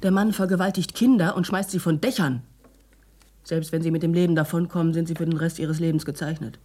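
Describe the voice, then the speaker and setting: A woman's voice: deep voice, steady tone, brisk, warm tone. An actress playing a bitter, outspoken middle-aged woman in a radio drama, blunt and edgy. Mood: bitter, angry. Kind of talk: German radio drama acting.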